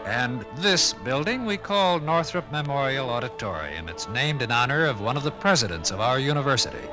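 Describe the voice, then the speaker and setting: A man narrating and explaining as a guide in a scripted 1950s radio program, with deliberate phrasing. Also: medium pitch, sing-song, loud music behind the voice.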